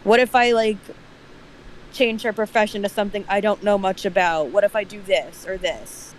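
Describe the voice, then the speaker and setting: A woman's voice: mid-range voice, fairly even intonation, normal speed, rough recording. A woman in a casual stream discussion, conversational and opinionated, sometimes acting out imagined dialogue. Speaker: stream participant. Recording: faint background noise.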